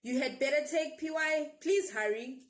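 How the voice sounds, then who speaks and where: A woman voicing a brisk, bossy mother who calls out orders to her young son in a children's story. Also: sits high, voice pushed up, lightly acted. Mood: insistent calling. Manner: commanding, calling.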